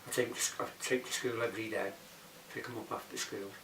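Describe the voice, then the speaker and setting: A man in his sixties with a lowish voice and a Liverpool accent, speaking as an interviewee. He sounds distant from the mic in a roomy space, with noticeable background noise. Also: fairly even intonation, quick pace. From Bootle, Liverpool, England. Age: 60s. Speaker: documentary interviewee. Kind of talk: unscripted interview answers.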